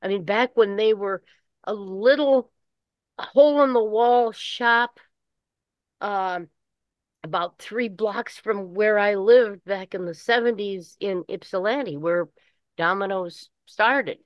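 An older woman with a Midwestern American accent, chatting casually and rambling in a halting way. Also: mid-range voice, dry recording. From Michigan, United States. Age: 60s or older. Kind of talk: casual chat. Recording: dull lo-fi call audio.

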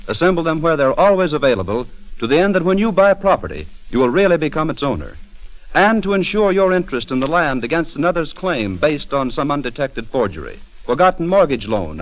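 A male announcer speaks in formal 1940s radio style. His voice sits a little high and moves emphatically up and down. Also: sing-song, fairly quick, rough recording. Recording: 1940s radio broadcast recording.

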